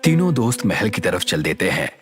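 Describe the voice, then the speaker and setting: A man's voice: sits low, big pitch swings, fairly quick, soft background music. A man narrating a scripted horror story.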